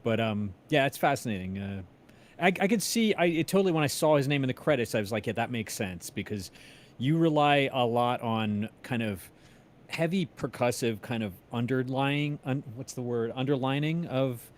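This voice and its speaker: A man's voice: medium pitch, normal speed. A man interviewing in a casual chat, rambling a little and searching for his words.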